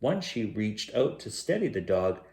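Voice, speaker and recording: A man reading a children's picture book aloud as a bedtime storyteller, in a measured, expressive way. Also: on the low side. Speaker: bedtime story reader, host.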